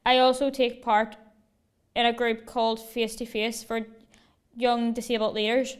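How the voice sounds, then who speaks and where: A young woman from Northern Ireland reading a prepared rally speech at a measured, deliberate pace. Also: fairly high pitch, little pitch movement, smooth. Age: young adult. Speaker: rally speaker. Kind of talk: prepared speech read aloud. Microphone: video call.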